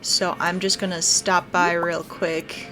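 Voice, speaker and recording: A woman's voice: lowish voice, level tone, slow pace, rough recording. A woman giving casual let's play commentary as a gaming video host, talking through what she is doing as she goes. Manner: narrates own actions aloud. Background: game music.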